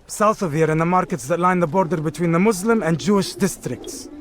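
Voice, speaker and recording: An actor playing a bitter, disdainful keeper of an assassins' bureau in a video game, formal and scornful in manner. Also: male voice, sits high, brisk, lightly acted. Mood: grudging, instructive.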